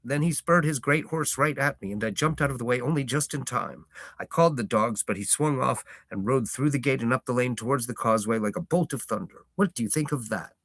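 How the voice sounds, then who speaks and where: A man voicing a proud, stubborn old hobbit farmer who tells his tale with slow relish and rustic grammar. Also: mid-range voice, fairly bright tone, dry recording, pitched above the performer's own voice. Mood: indignant. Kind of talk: reading a novel aloud.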